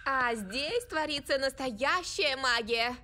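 A woman's voice: sits high, lively intonation, slow, noisy background. An actress playing an enthusiastic woman who guides visitors around a game studio in a cartoon dub. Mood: excited. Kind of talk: animated cartoon dubbing. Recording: music playing behind the voice.

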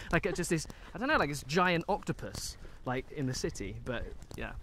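A British man in his twenties with a slightly high voice muses and rambles in casual chat. He is walking outdoors with a handheld camera, and there is faint ambient noise around him. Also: huge pitch swings, moderate pace. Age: 20s. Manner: rambling, musing. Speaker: film review co-host. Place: outdoors, walking through countryside and woods. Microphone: handheld camera at arm's length.

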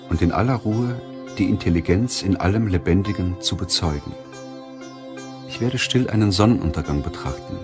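A man reading a self-help audiobook as narrator, in measured, deliberate phrasing. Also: low-pitched.

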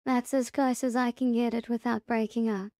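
A woman presenting a casual, step-by-step software tutorial in a plain-spoken, instructional way. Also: on the high side, flat delivery, moderate pace, dry recording, studio quality.

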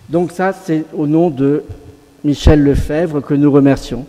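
A middle-aged man presenting and interpreting informally at a panel, in short halting phrases. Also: a little high, mellow. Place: large hall, seated at panel table. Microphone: table microphone. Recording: long hall reverb.

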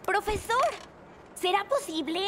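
A woman voicing a clever, self-assured little female creature who is an inventor professor, in an animated children's musical dub. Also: very high-pitched, a little slow, background noise. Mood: eager. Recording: voice over loud music.

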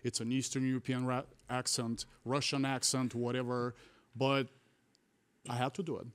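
A man's voice: medium pitch, level tone, clean tone. Earnest young man with a Bulgarian accent. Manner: earnest, halting, restarts often. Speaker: guest, real estate wholesaler. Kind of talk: casual interview talk. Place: studio set with mountain mural. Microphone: studio mic on boom arm.

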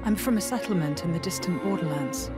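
A woman's voice: on the low side, a little fast, natural voice. Woman playing an earnest young mercenary. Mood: modest. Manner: polite, modest, earnest. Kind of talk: video game cutscene acting. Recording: loud orchestral music behind.

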